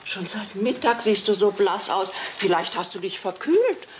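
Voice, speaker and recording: Woman acting chatty genteel auntie in an old radio play, fussing, middling pitch, bit of hiss. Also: lively intonation, normal speed, close to an everyday voice. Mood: fussing, sympathetic. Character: fussy, chatty, well-meaning English spinster aunt.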